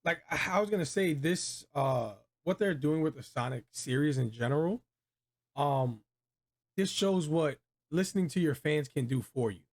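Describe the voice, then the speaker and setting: A man's voice: a little high, animated, normal speed. A man hosting a casual movie review chat, talking in slangy, informal phrasing. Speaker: video review host.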